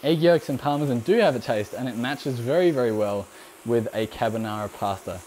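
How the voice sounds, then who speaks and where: Young aussie guy rambling through a live cooking stream, warm low-key tone, faint sizzle behind. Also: a little fast, a little hiss or hum, rough recording. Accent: Australian. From Australia. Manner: rambling and relaxed, frequent ums, laughs often. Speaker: cooking channel host. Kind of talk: live cooking stream, answering chat questions.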